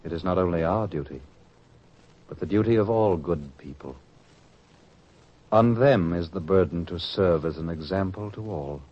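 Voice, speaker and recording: A man's voice: sits low, normal speed, pauses often. A man playing a grave, principled disciple of Jesus in an old radio drama, with theatrical, formal diction. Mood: grave, earnest. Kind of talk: 1940s radio drama acting. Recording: dull old radio recording.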